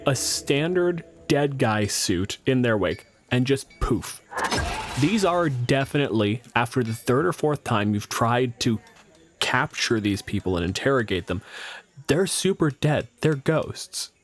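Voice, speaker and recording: A man's voice: medium pitch, animated, a little slow, faint music behind. Male game master, mid-range voice, improvising scenes conversationally with dry, sweary humor. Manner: conversational, wry, profane. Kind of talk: improvised casual game narration.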